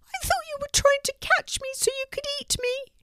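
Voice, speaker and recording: Woman as tiny male storybook mouse, very high squeak, nervous, trembling, exclamatory. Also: moderate pace, sharp tone, no room sound. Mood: frightened. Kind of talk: children's storybook character voice.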